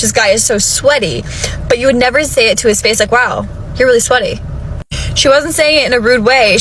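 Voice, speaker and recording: A woman's voice: fairly high pitch, fairly bright tone, poor audio. American woman, young adult, conversational anecdote, faint traffic underneath. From United States. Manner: chatty, with many "like" fillers. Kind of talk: casual anecdote clip.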